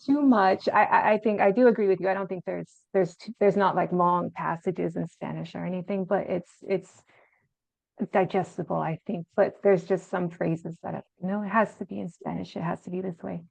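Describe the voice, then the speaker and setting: Woman guest author, gravelly voice, thoughtful and hesitant, dry and dull audio. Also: lowish voice, fairly even intonation, moderate pace, darkish tone, dry recording. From Arizona, USA. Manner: thoughtful, hesitant, many fillers. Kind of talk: casual interview chat.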